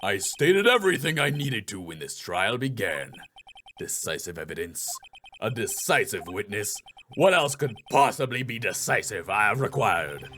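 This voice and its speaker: A man's voice: lowish voice, big pitch swings, pushed and bright, a little hiss or hum, pitched below the performer's own voice. A man doing a haughty old prosecutor. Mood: contemptuous. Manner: haughty, cutting, slow and deliberate. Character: arrogant veteran male prosecutor, sixties.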